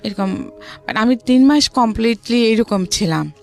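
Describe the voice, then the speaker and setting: A woman's voice: a little high, lively intonation, normal speed, a little mellow, faint music behind. A woman with a Bangladeshi accent telling her personal story as an interview guest, often relaying what others said.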